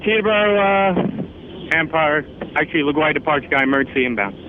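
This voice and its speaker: A man speaks as an air traffic controller in short procedural phrases. His slightly high voice races along at a quick pace. It comes through a thin, narrow radio channel. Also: expressive. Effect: radio transmission.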